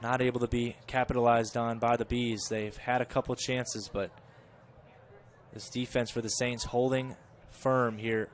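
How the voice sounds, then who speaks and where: A man giving chatty live play-by-play of a college soccer match, correcting himself and rising in pitch and volume when the play gets dangerous. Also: flat delivery, measured pace. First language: English. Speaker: play-by-play commentator.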